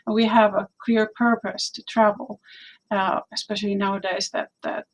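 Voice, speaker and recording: A woman's voice: mid-range voice, flat delivery, moderate pace, rough recording. A woman from Finland with a Finnish accent, chatting casually on a livestream with frequent "uh" fillers. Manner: hesitant, frequent "uh" fillers, light laughs. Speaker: livestream co-host.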